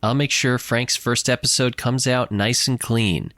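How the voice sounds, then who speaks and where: An actor playing a smarmy, flirtatious, wisecracking radio producer in an audio comedy, teasing and theatrical with oily charm. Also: male voice, mid-range voice, fairly even intonation, unhurried, a bit bright, no echo, clean studio sound. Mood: smarmy flirting. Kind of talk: audio comedy drama acting.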